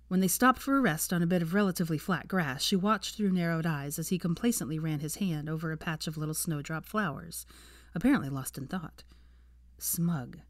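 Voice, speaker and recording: A woman reading a story as a scripted narrator, with an expressive, dramatic delivery. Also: on the low side, no room sound, studio quality. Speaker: narrator of fan fiction readings.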